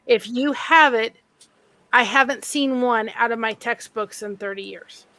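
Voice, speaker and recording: A woman's voice: fairly high pitch, unhurried, sharp tone, low-quality recording. A woman in a casual group video chat, explaining things earnestly and restarting her sentences.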